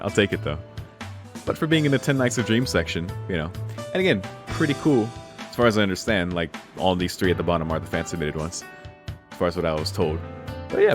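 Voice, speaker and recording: A man streaming a visual novel, reading the text aloud in a casual way and reacting with incredulous, jokey swearing. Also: low voice. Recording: music playing behind the voice. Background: guitar music of a game soundtrack.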